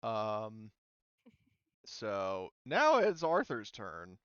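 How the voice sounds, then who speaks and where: Smooth-voiced man running a game, muffled sound. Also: on the low side, huge pitch swings, very slow, a bit bright, no room sound. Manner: informal, talks through rules as he reads them. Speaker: game master. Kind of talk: casual tabletop game narration and rules talk.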